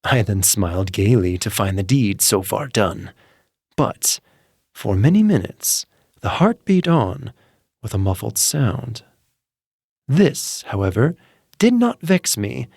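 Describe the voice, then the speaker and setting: Slow, theatrical male storyteller. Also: lowish voice, very animated, vocal fry, husky, dry recording, clean studio sound. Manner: slow, theatrical, swings from hushed murmurs to sudden intense outbursts. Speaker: narrator. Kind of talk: dramatic audiobook reading for sleep.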